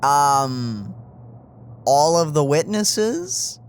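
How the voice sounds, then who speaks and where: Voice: medium pitch, very animated, drawn-out words, clean tone. A let's play streamer reading game text aloud and adding casual commentary. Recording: game music in background.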